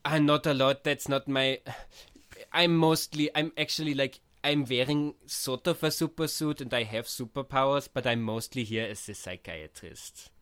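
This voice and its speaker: A man playing a polite male psychiatrist superhero with a floating skull, speaking with an Austrian accent, earnest and halting with many hesitations. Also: mid-range voice, fairly bright tone, clean tone, no echo, close to an everyday voice.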